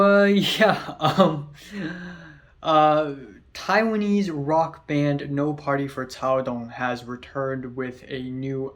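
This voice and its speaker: A man's voice: medium pitch, a bit bright. A young man hosting his own channel, giving a casual spoken album review, chatty with lots of fillers and casual swearing.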